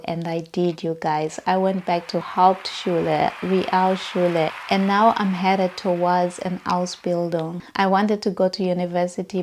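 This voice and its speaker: A woman in her thirties speaks with a Southern African accent. Her voice sits slightly low for a woman. She addresses viewers directly in a personal vlog, recorded indoors in a bedroom. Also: a little mellow. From Zimbabwe and South Africa. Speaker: vlogger.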